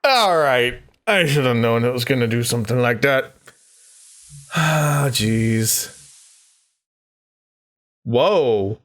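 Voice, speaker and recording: A man hosting a casual let's-play, reacting out loud to the game and chatting to his viewers. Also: medium pitch, expressive, slow pace, long pauses. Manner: reactive, interjecting, talking to viewers. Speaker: gaming YouTuber host. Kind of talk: casual let's-play commentary, reading game text aloud.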